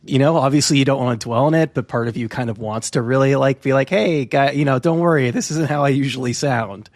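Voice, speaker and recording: A man's voice: mid-range voice, quick pace, no echo. Male podcast host, conversational, dead-dry sound. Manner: conversational, shares own experiences. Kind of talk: casual interview conversation.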